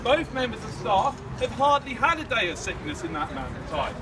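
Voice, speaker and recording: A man speaking as a union branch secretary, addressing an outdoor protest without a microphone in a loud, projecting, repetitive way. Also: very high voice, big pitch swings, a little fast. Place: outdoors at a college protest, street traffic nearby. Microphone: distant camera. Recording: distant voice with street noise. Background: traffic and street ambience.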